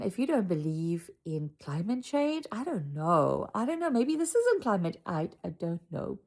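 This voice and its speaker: A woman's voice: fairly low pitch, mellow. A South African woman, older, sharing a casual personal story with her channel viewers from home, chatty and full of asides. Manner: chatty and reflective, drifting into asides. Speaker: channel host. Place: home room with a Christmas tree.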